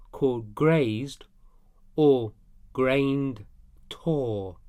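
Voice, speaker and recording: A man narrating a scripted history piece as host, in deliberate, short phrases. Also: mid-range voice, no room sound, clean studio sound.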